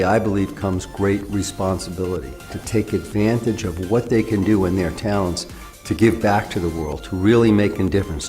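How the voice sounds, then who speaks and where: A man speaking earnestly and in a measured way in an interview clip. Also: sits low, dark tone.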